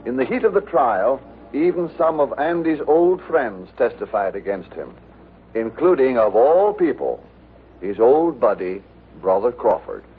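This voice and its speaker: A man's voice: medium pitch, very animated, a little slow. A man giving dramatic scripted narration for a mid-century radio show. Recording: tinny old radio recording with hiss.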